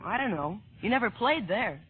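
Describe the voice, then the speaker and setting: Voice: medium pitch, sing-song, normal speed, slight background noise, lightly acted. An actor playing a cocky, wisecracking child of vaudeville performers in an old-time radio comedy drama. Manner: brash, quippy, precocious. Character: wisecracking young show-business kid, cocky son of vaudevillians. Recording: muffled old radio broadcast recording.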